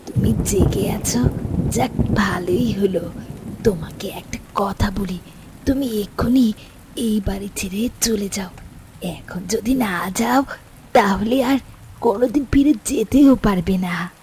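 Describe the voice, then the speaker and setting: A woman voicing the ghost of a frail old woman who speaks in a hushed, half-whispered way. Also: mid-range voice, takes it slowly, a little hiss or hum, lightly acted. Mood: urgent.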